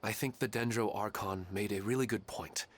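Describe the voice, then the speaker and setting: A man's voice: on the low side, steady tone, moderate pace, close to an everyday voice. A man playing a grieving widowed father in a video game, polite, reflective and hesitant. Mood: wistful, reflective.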